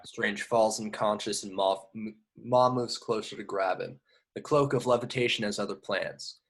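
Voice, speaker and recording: A man's voice: lowish voice, moderate pace. A man narrating scripted action directions in an amateur table read of a film. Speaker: narrator reading stage directions.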